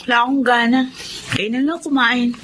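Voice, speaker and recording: Radio drama casting: woman to play a spoiled young Filipino daughter, resentful and blunt, very high sharp voice. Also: fairly even intonation, normal speed, a little hiss or hum, close to an everyday voice. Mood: sullen, resentful. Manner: petulant, blunt, defiant.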